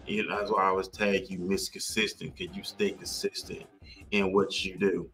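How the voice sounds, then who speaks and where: A man's voice: sits low, flat delivery, normal speed, bright, forceful tone, faint music behind. A man hosting a podcast in an enthusiastic, effusive way, with emphatic, repetitive phrasing. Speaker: podcast host.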